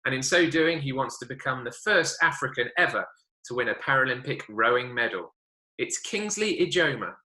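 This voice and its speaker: A man's voice: mid-range voice, expressive, normal speed, bright, forceful tone, echoey room, low-quality recording. A man hosting an interview, introducing his guest and asking questions in a friendly presenter manner. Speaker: interviewer, broadcaster.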